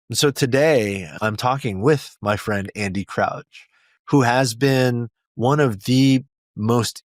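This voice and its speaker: Deep-voiced earnest male podcast host. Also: no echo, clean studio sound. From South Korea. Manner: earnest and reflective, with small restarts. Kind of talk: podcast intro monologue.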